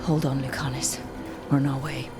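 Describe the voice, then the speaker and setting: An actress playing a determined young fantasy hero, direct and resolute, in a video game. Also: female voice, extremely low pitch, little pitch movement, moderate pace, over loud music. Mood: urgent resolve.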